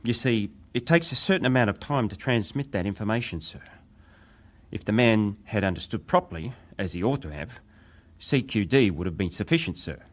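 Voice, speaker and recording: An actor playing a ship's wireless operator, a respectful but defensive witness giving clipped testimony in a dramatised hearing. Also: male voice, lowish voice, expressive, moderate pace. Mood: defensive, firm. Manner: clipped, respectful, matter-of-fact.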